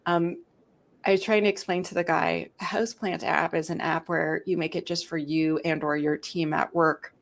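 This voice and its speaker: A woman in her forties hosting a security live stream, explaining at length in a talkative, animated storytelling way. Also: sits low, normal speed, creaky. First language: English. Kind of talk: casual explanatory chat.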